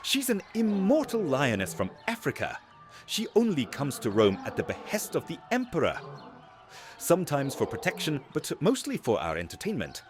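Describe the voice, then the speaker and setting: A man playing an awestruck, excitable Roman arena spectator who explains things theatrically in an audiobook drama. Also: a little high, normal speed, over music, pitched above the performer's own voice. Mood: awed.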